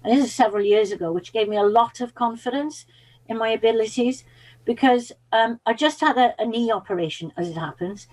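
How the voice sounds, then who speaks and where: A woman's voice: a little high, brisk, darkish tone, low-quality recording. A woman whose first language is Welsh, a public speaking coach, telling stories as a guest in an interview, with fillers. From Wales. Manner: chatty, storytelling, with fillers.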